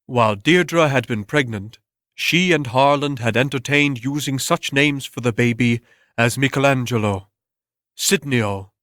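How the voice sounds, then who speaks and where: A man reads a story as an audiobook narrator, with a measured and deliberate delivery. His voice sits at a medium pitch. The recording is close and dry. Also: a bit bright, no room sound. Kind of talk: scripted dramatic story narration.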